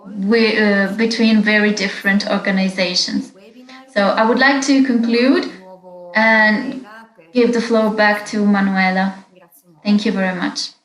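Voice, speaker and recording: A woman interprets a webinar into English, keeping a steady, measured pace with the odd filler. Her voice sits at a middle pitch and echoes in a hard room. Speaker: interpreter and moderator.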